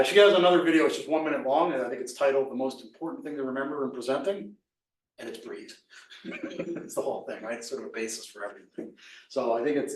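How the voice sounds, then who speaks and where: Slightly raspy, enthusiastic man in an echoey room. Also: medium pitch, distant mic. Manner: enthusiastic, conversational, frequent "right?" asides. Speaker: presenter and instructor. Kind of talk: casual webinar talk.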